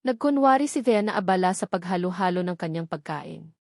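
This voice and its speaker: A woman's voice: lowish voice, animated, brisk, darkish tone, no room sound, studio quality. A woman with a Filipino accent reads a scripted romance story as its narrator, in a dramatic storytelling manner.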